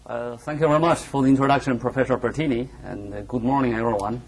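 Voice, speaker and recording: A man's voice: medium pitch, steady tone, low-quality recording. Male researcher with a Chinese accent, mid-range voice, careful and formal seminar delivery. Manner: formal, careful, deliberate phrasing. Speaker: visiting researcher giving a seminar. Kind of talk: academic presentation. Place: university lecture room, indoors.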